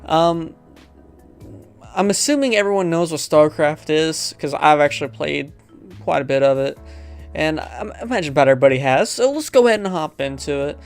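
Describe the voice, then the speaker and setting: A man's voice: a little high, animated, normal speed, smooth, soft background music. A man hosting a gaming video, chatting casually and reading game text aloud, often stumbling over the words as he goes.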